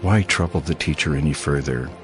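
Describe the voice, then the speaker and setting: Grave messenger, extremely low voice, somber, blunt, music underneath, audio drama. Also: male voice, a little fast. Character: grave male messenger bearing bad news.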